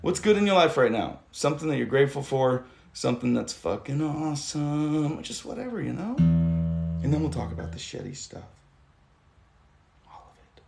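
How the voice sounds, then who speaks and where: A hoarse man in his thirties hosting a casual livestream, talking loosely and swearing now and then. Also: fairly high pitch, sing-song, moderate pace, darkish tone. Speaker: livestream host. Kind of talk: rambling casual livestream chat. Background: guitar music.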